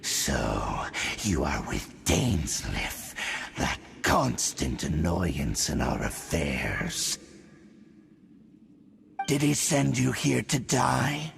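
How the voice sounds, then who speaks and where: A man voicing a menacing, haughty monstrous herald in a video game, with gravelly, pompous, threatening pronouncements. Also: a bass voice, exaggerated voice. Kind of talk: video game villain acting.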